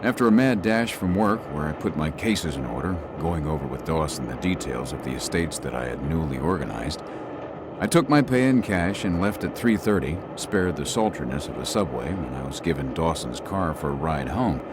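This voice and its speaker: A man's voice: very deep voice, animated, noisy background. An actor playing a weary young male lawyer with a broken leg, narrating reflectively in an audio drama. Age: young adult. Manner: reflective, measured.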